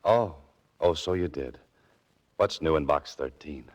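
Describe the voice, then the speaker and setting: A man's voice: extremely low pitch, unhurried, very noisy. A man playing a wry, adventurous writer-sleuth with understated delivery in 1940s radio acting style. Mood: wry, musing. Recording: muffled lo-fi 1940s radio recording.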